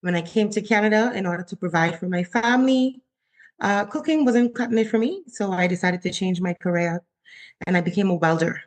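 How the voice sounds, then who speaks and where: A woman in her forties from the Bahamas, a chef telling her story in a casual interview and acting out her own quotes. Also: fairly quick. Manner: animated, acts out her own quotes with rising pitch. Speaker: chef, guest.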